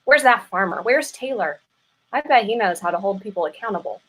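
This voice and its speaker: A woman's voice: medium pitch, lively intonation, a little fast, a bit bright, low-quality recording. A woman playing a guilt-ridden, dramatic mistress in a comedic play reading, earnest and emphatic as she confesses. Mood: agitated, self-critical. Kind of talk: live play reading, comedic stage acting.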